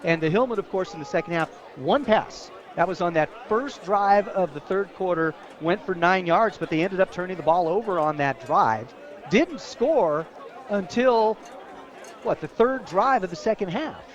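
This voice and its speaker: High-voiced American male football color commentator. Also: expressive, moderate pace, muffled recording. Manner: enthusiastic, admiring, conversational. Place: outdoor football stadium. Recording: stadium crowd behind, outdoor game broadcast. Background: stadium crowd.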